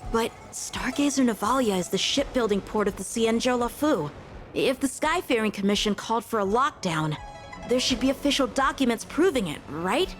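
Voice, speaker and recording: A woman's voice: a little high, animated, moderate pace, faint music behind, close to an everyday voice. A woman voicing an earnest, polite young male lieutenant in a video game, composed and formally courteous. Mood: probing.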